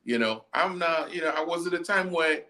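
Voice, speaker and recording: A man co-hosting a casual football chat, animated and laughing, often mimicking people in quotes. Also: a little high, brisk. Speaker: podcast co-host.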